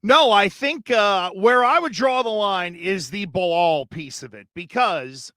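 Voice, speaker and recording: A man co-hosts a casual sports show, easygoing and measured as he weighs in. His voice sits quite high and sounds close and dry, with no room around it. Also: animated, fairly bright tone, no room sound. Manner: easygoing, agreeable reactions and measured opinions. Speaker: co-host. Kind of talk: casual sports radio chat.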